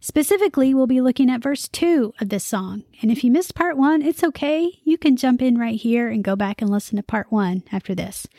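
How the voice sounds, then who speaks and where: Upbeat woman podcast host, fairly high voice. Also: expressive, brisk, hardly any pauses, warm tone, no room sound, clean studio sound. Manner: upbeat, conversational, lightly humorous.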